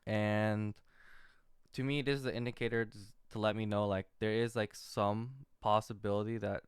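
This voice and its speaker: A man giving an off-the-cuff screen-share commentary as an amateur investor, talking in a halting, rambling way. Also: lowish voice, flat delivery, clear, resonant tone, dry recording, studio quality. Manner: halting, rambling, hesitant delivery. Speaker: retail investor recording a portfolio update.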